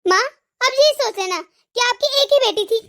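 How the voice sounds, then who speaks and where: A woman voicing a clingy, resentful young adult daughter in an animated family drama, with a whiny, very high-pitched voice. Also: normal speed. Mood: coaxing.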